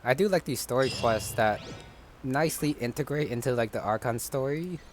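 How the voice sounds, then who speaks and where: A man giving casual, musing gameplay commentary in a low-key way. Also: normal speed, soft background music. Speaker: gamer commentator. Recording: clear background noise, game ambience.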